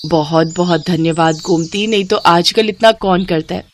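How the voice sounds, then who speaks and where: A woman's voice: on the low side, moderate pace, a little hiss or hum, natural voice. A woman voicing a friendly, appreciative young married woman in a moral story, speaking with easy familiarity. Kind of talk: Hindi moral story character dialogue.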